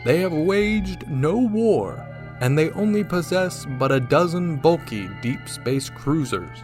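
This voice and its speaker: A man's voice: fairly high pitch, expressive, slow. A man playing a portentous announcer narrating an old-time space adventure radio serial. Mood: measured, storytelling. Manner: dramatic, portentous delivery. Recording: loud music under the voice. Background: music.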